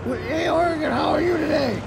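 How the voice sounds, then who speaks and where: A man playing a puzzle game and voicing the pieces in a goofy, chatty way, his voice raspy and coughing. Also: very high-pitched, brisk, low-quality recording. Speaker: gamer voicing the state pieces. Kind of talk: playful game commentary with character voices. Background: game music.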